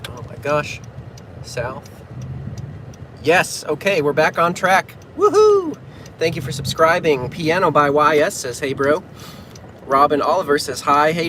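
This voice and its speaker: A man from New England chatting casually on a livestream while driving, narrating his route and reading out fan comments. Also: fairly high pitch. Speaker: pianist livestreaming to fans. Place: inside a moving car. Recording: loud road and engine noise. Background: loud car and traffic noise.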